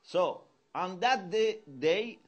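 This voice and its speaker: A middle-aged man giving a religious lecture in a mosque hall. He teaches earnestly, quotes Arabic verses quickly and gets louder to stress a point. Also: high-pitched, expressive, lo-fi sound. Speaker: Islamic lecturer.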